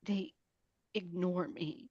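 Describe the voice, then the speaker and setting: A woman's voice: fairly low pitch, steady tone, slow pace, dark tone, breathy edge, no room sound. A woman playing a sullen, defiant teenage outcast girl, blunt and defensive, in a table read with muffled sound. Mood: hurt. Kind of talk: table read of a film script.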